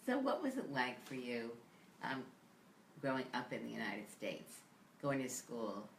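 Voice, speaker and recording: Very deep-voiced woman hosting an interview. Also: very animated, unhurried, vocal fry, echoey room, a little hiss or hum. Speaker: host. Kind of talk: interview host.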